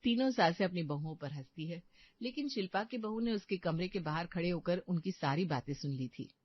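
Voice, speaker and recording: A woman's voice: fairly low pitch, animated, fairly quick, dry recording, lo-fi sound. A woman reading scripted narration for a story.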